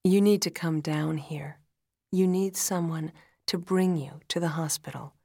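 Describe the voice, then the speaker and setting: Female doctor for audio drama, voiced by a woman narrator: calm, measured, grave, creaky and breathy. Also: low-pitched, no echo, studio quality, heavily acted. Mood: grave, gentle. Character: calm female hospital doctor breaking bad news. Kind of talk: dramatic audiobook-style storytelling.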